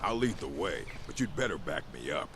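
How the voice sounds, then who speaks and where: A man voicing a weary, gruff orc warrior in a fantasy video game, terse and brooding, with a gravelly voice. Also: a bass voice, very animated, fairly quick. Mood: grim, wary. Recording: game dialogue under noisy ambience.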